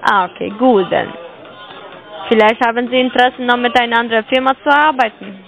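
A woman's voice: on the high side, a little fast, a bit bright, faint music behind, some chatter behind, low-quality recording. A woman making a scam sales call on the phone, pushing with repeated questions in broken grammar. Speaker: scam caller.

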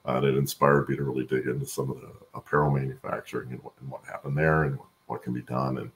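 A middle-aged man with a gravelly voice answering interview questions conversationally on a video call from home, haltingly thinking aloud. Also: rumbling low, steady tone, fairly bright tone. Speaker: guest, supply chain expert and voice-over announcer.

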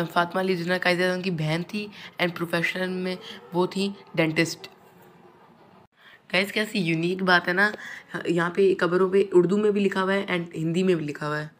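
A man's voice: high voice, level tone, moderate pace, fairly bright tone, slight background noise. A man from Pakistan, giving a casual vlog tour of a marble mausoleum crypt on a handheld phone and constantly addressing viewers as "guys". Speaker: vlogger.

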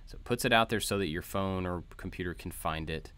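A man's voice: sits low, brisk, fairly bright tone, clean tone. A man narrating an informal code walkthrough as a tutorial host, explaining things step by step. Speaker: host of a maker tutorial channel. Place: voice-over for a screen recording.

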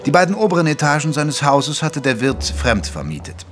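A man narrating a period mystery audio drama in a measured, literary manner. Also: a little high, big pitch swings. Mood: measured narration. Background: music under the voice.

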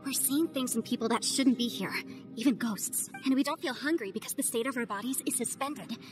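Game voice: woman playing a bright-voiced, analytical young adviser, high pitch, music underneath. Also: fairly quick, lightly acted. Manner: analytical, earnest, persuasive. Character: sharp, confident young woman legal adviser.

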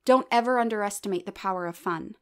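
A woman's voice: medium pitch, quick pace, clean tone, close to an everyday voice. A woman playing an upbeat, kindly comedian in an audio drama, supportive and sincere in manner. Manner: enthusiastic, sincere.

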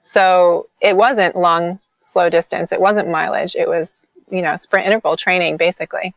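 A woman in her forties chats eagerly as a podcast guest, her voice a little low for a woman. She fills her talk with ums and likes. The call audio is close and dry with no room sound. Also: steady tone, normal speed, no room sound. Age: 40s. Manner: enthusiastic, frequent ums and likes. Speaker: podcast guest, sprint coach. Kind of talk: casual interview chat. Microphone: video call.

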